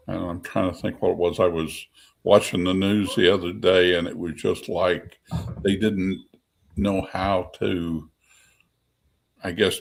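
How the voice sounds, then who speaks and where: A man's voice: sits low, vocal fry, breathy edge. A man speaking as a guest on a podcast panel, rambling in a loose conversational way. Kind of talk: casual panel chat.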